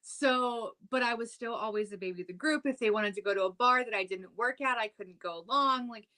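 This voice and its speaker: A woman in her thirties chatting loosely on a podcast, her voice bright and forward. She rambles through her stories, filling gaps with likes and ums. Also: on the high side, brisk. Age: 30s. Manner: chatty, storytelling with lots of "like" and "um". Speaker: podcast co-host. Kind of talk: casual chat.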